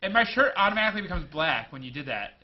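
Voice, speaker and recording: A man's voice: a little high, big pitch swings, rapid-fire, highs cut off. A young man hosting a casual interview show, rambling loosely and joking between questions. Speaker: host.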